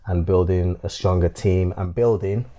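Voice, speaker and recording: Man, 30s, lowish voice, relaxed affirming co-host. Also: normal speed, highs cut off. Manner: easygoing, affirming. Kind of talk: casual chat. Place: home.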